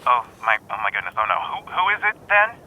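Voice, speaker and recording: A man's voice: mid-range voice, sing-song, quick pace, over a noisy crowd, lightly acted. A man playing a polite but evasive, flustered doctor in an audio drama, fussy and hesitant, heard on a telephone call. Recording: faintly noisy telephone call.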